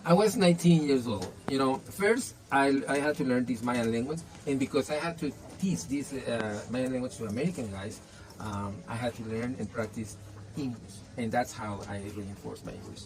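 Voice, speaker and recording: A man's voice: mid-range voice, big pitch swings, normal speed, poor audio. A man whose first language is Spanish, answering interview questions in an earnest, casual way, with many restarts and "you know".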